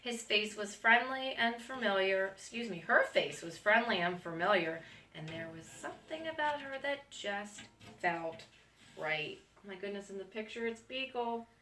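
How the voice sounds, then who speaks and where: A woman's voice: mid-range voice, expressive, moderate pace. A woman reading a picture book aloud to young students, stopping often for friendly remarks. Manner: gentle, adds friendly remarks to young listeners. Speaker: school staff reading to students. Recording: echoey room, far from mic, faint noise.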